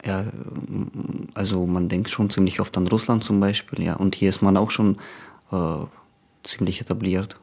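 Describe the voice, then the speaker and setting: A man's voice: low voice, steady tone, normal speed, creaky, a little mellow. A man, speaking hesitantly and reflectively in a documentary interview. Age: 20s. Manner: hesitant, many fillers and restarts, reflective.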